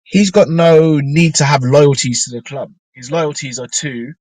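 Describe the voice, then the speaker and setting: A man hosting a live fan show from inside his car, his voice still a little croaky from a flu, chatting loosely and thinking aloud with ums and restarts. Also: fairly high pitch, unhurried, a little mellow, no room sound. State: slightly croaky after flu. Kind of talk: casual live-stream chat.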